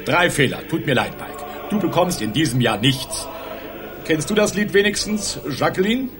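A man's voice: on the high side, lively intonation, moderate pace, over music, close to an everyday voice. A man playing an amused, mocking, unbending Santa Claus in a German children's radio play.

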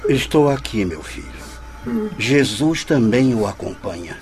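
A man's voice: fairly low pitch, lively intonation, measured pace. An actor playing a compassionate, solemn spiritist leader in a radio drama, speaking like a fatherly preacher. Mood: gentle reproof. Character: compassionate, solemn male spiritist leader consoling lost souls. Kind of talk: radio drama acting, prayerful oratory. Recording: music behind the voice.

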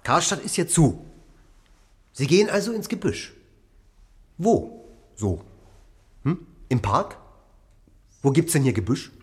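A man's voice: on the high side, sing-song, takes it slowly, pauses often, a little mellow, breathy edge, exaggerated voice. A man playing an eccentric, probing artist in a radio play. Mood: curious. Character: eccentric, curious male artist living in the house. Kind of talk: German radio play acting.

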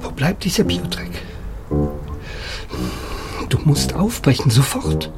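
A man's voice: on the high side, wildly swooping pitch, slow, heavily acted. An actor playing an anxious man in hiding in a radio drama, terse, urgent and pleading. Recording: music and storm behind the voice.

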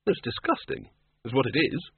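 A blustering old male wizard in charge, acted out by a male reader, blunt and dogmatic, pitched up from a plain voice and husky. The sound is narrow, like a small radio, in a comic fantasy audiobook. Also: big pitch swings, normal speed, dry recording, exaggerated voice. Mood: blunt, insistent. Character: gruff, bossy old male wizard, head of a college.

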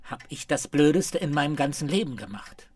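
A man's voice: fairly high pitch, lively intonation, fairly quick, a little mellow, breathy edge, no echo. An actor playing a resigned, grumpy gas station man with a dry, curt, grumbling way of talking. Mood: wry, grumbling.

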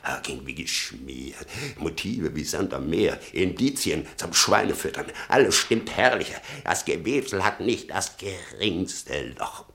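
An actor playing a bitter, sarcastic old father in a German radio play, rambling and muttering with a gravelly voice. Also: low voice, animated, measured pace, a bit bright, heavily acted. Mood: agitated, sarcastic. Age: older. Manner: rambling, sardonic, muttering and flaring up.

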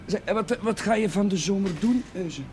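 High-voiced, kindly chaplain played by an actor. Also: male voice, normal speed, a little mellow, natural voice. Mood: genial. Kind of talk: television period drama acting. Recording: faintly noisy 1970s television soundtrack.

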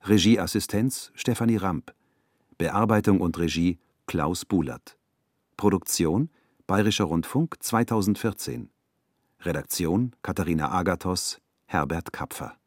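Ein Mann liest als Ansager sachlich die Besetzung eines Hörspiels vor. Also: male voice, low-pitched, measured pace, dry recording, studio quality. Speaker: announcer reading the credits. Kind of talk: measured scripted announcement.

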